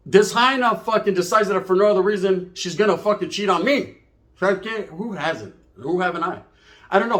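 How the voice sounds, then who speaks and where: A man's voice: high-pitched, fairly bright tone. A middle-aged man tells a comedic story informally, profane and boastful, wandering off and checking in with tag questions.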